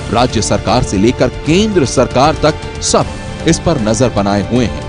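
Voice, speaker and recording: Male news voice-over, mid-pitched and very animated, emphatic scripted delivery with a music bed. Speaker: news report narrator. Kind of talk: dramatic scripted crime news narration. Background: background music.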